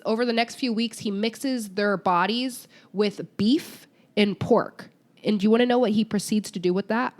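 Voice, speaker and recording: A woman co-hosts a casual true crime chat while a little sick, so her voice sounds stuffy. She talks in a chatty, teasing way at an ordinary middle pitch. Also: normal speed. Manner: chatty, teasing, laughs often. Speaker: podcast co-host.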